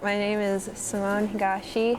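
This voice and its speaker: Relaxed teen girl, noisy background. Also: medium pitch, normal speed. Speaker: high school senior interviewee. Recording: clear background noise.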